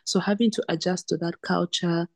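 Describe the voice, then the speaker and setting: A woman's voice: lowish voice, steady tone, moderate pace, no echo. A young woman from Zambia and South Africa with a Southern African accent, explaining her own experiences as an interview guest and repeating words for emphasis. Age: young adult. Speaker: podcast guest, medical doctor. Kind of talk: conversational interview, recounting personal experience.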